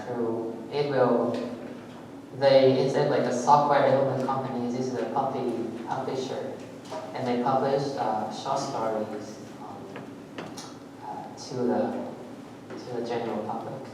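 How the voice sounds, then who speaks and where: A man from Vietnam, a native Vietnamese speaker, giving a technical talk at a meetup in a halting way, with many fillers and restarts. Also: medium pitch, steady tone. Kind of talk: technical presentation with live demo. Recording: echoey hall, voice far from mic, audible noise.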